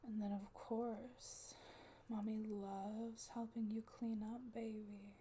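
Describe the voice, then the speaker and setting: A woman in an intimate audio roleplay, speaking softly and often slipping into a coaxing whisper. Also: fairly high pitch, a little hiss or hum. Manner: soft, often unvoiced whispering; coaxing, doting caretaker tone.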